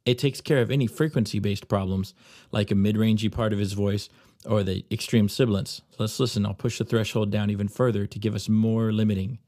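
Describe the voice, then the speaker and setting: A man with a slightly low voice narrates a software tutorial. He explains settings step by step as an instructor. The recording is close and dry, with little room sound. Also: fairly even intonation, fairly quick, no echo. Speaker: audio plug-in tutorial presenter.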